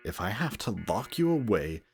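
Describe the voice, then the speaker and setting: A man acting a possessive, anxious antihero and devoted best friend in an emotional, halting audio roleplay addressed to the listener. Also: lowish voice, very animated, faint music behind. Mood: stern, possessive. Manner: halting, hesitant, earnest.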